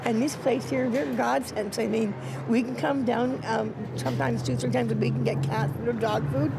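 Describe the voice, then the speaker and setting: A woman's voice: on the high side, steady tone, moderate pace, creaky, very noisy. An older woman, a senior, talking candidly in a street interview outdoors. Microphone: handheld mic.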